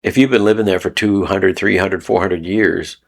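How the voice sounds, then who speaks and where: A man's voice: deep voice, steady tone, moderate pace, gravelly. A man from Canada giving a rambling, casual spoken teaching talk, repeating phrases and chuckling often. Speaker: video host. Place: lives in Mexico.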